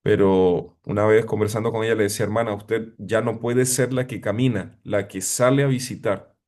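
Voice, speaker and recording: A middle-aged man preaching a reflective sermon as a pastor, pausing often with fillers. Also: fairly low pitch, steady tone, a little mellow. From abroad, living in Chile 20 years. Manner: reflective, hesitant with frequent fillers.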